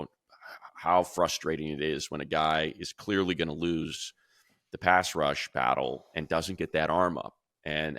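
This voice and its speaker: A middle-aged man, a radio play-by-play announcer, breaking down players in an animated, excitable casual sports chat. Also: a bass voice, no echo, clean studio sound. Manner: animated, excitable, rapid runs of analysis.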